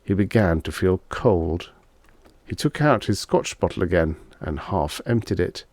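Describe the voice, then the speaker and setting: A man reading a short story aloud as an audiobook narrator, with expressive, scripted delivery. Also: deep voice, measured pace, dry recording.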